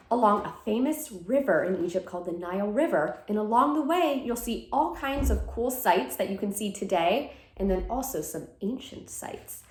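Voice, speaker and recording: A woman's voice: a little high, echoey room. A woman teacher reading a picture book aloud to children, with sing-song verses and simple explanations.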